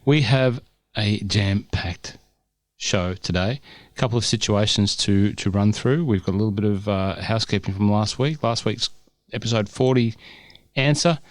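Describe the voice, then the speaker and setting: A man's voice: on the low side, normal speed, no echo. A man co-hosting a podcast from home, chatty and joking in a casual, upbeat way. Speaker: podcast co-host. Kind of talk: casual chat. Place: home, cold winter day. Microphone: remote call recording.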